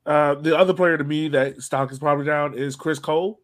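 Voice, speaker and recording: A man's voice: fairly high pitch, fairly even intonation, brisk. A man hosting a podcast, chatting casually about sports and putting questions to his co-hosts. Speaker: podcast host.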